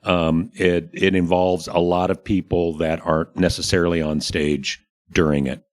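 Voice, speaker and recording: A man's voice: low voice, level tone, moderate pace. A man hosting a casual talk show about local happenings, speaking in a plain-spoken, encouraging way. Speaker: radio show host. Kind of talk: casual theater chat.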